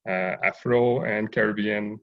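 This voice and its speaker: Gravelly low-voiced man, lo-fi call audio. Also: fairly even intonation, measured pace. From Haiti, raised in Montreal. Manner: thoughtful, hesitant with many fillers. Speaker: guest, urban farm owner. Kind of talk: interview over video call.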